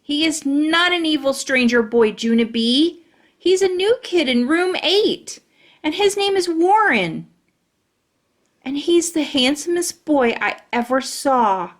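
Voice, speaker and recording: A woman's voice: high-pitched, lively intonation, slow pace. A woman voicing a bossy, huffy little schoolgirl who whines and demands. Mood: angry. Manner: whiny and demanding.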